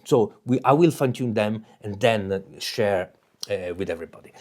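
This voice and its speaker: Italian-accented man, halting tech talk. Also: fairly low pitch, darkish tone. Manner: halting, many fillers and restarts, laughs often. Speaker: tech video host. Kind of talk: casual unscripted explainer.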